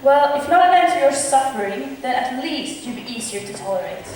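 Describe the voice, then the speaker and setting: An earnest, coaxing attendant played by an amateur actress, loyal and sensible, with a high female voice. The stage sound is distant, with long hall reverb. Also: lively intonation, brisk, a little mellow. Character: reasoning, loyal attendant to a gloomy lord. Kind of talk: amateur stage acting of modernized Shakespeare. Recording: distant, very echoey hall, faint noise.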